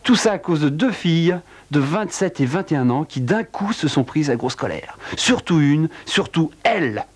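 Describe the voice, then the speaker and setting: A man telling a dark true-crime tale in a wry, theatrical way, with a cold. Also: big pitch swings, normal speed, few pauses, husky. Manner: wry, theatrical storytelling with big pitch swings. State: has a cold. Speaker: narrator. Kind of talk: dramatic spoken narration.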